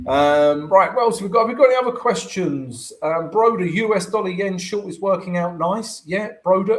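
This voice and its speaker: A man with a croaky voice hosting a live trading stream, chatting through his charts and answering viewer comments, often checking in with "right?". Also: on the high side. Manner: chatty, keeps asking "right?" to the audience.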